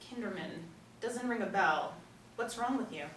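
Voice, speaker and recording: A wary, easily startled woman acted by a woman at a table read, her pitch moving in a lively way. The room echoes and the mic is a little distant. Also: normal speed, lightly acted. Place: small indoor room. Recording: roomy distant mic with faint hiss.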